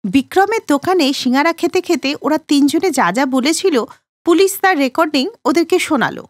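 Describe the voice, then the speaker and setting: High-pitched, animated woman storyteller. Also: a little fast, no room sound, clean studio sound. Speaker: narrator. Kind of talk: scripted story narration.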